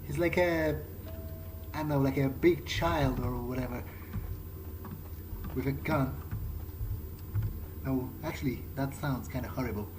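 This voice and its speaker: A man improvising in character as he plays a video game, conversational, halting and thinking aloud. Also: medium pitch, background noise. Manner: hesitant, musing, halting. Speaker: gamer playing in character.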